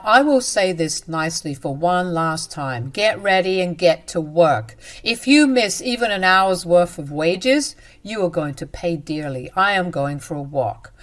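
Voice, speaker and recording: A woman's voice: lowish voice, natural voice. A woman voicing an angry, bullying husband, speaking in a harsh, demanding, raised voice.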